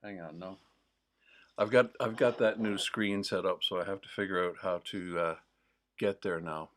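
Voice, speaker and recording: A man with a mild Newfoundland accent, hosting a livestream in a casual, rambling, digressive chat full of pauses. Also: low voice, fairly even intonation, fairly bright tone, a little hiss or hum. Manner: digressive, with many pauses and fillers. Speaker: host of a livestream.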